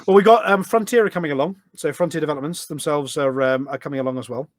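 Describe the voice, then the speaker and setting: A British man talks casually as a guest on a podcast. His voice sits a little high and is recorded very dry, with no room echo. He is informal and chatty, with a self-deprecating streak. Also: fast talker. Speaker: podcast guest, community event organiser. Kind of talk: casual chat. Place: office.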